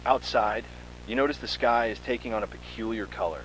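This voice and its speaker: A man's voice: lowish voice, a little fast, fairly bright tone, a little hiss or hum, rough recording. A man reading a scripted second-person horror story in a dramatic, suspenseful manner.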